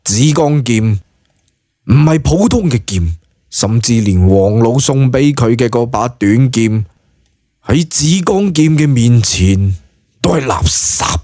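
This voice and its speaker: A man's voice: medium pitch, expressive, slow pace, mellow, no room sound, studio quality. A man with a Hong Kong Cantonese accent narrating a serialised novel in a dramatised audiobook style.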